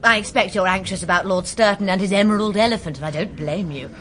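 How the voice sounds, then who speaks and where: A woman's voice: mid-range voice, fast, a bit bright, lightly acted. An actress playing a spirited, teasing and caring young woman in a radio drama.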